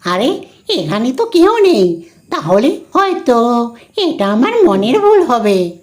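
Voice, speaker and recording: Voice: mid-range voice, big pitch swings, slow pace. An actor voicing a cartoon father monkey on guard, blustering and challenging but easily scared. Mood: wary. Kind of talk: cartoon dialogue.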